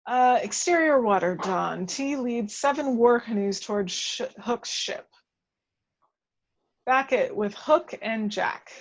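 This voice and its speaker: A woman reading action descriptions as scripted narration at a table read, with measured, dramatic storytelling. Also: on the high side, lively intonation.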